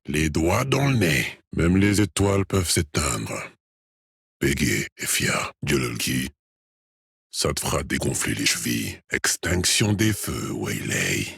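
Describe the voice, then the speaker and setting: Cocky shooter agent, very raspy husky voice, confident clipped delivery, widely swinging pitch, dry close recording. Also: male voice, fairly bright tone, no echo, full character voice. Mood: relaxed, cocky. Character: cocky, cool male tactical shooter agent. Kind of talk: video game voice lines, short callouts and taunts.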